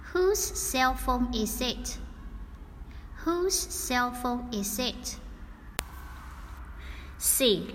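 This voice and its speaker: Woman, scripted exam narration, very slow careful enunciation, long hall reverb, dictation-style delivery. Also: fairly high pitch, fairly bright tone. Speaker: English listening test reader. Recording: long hall reverb, faint background noise.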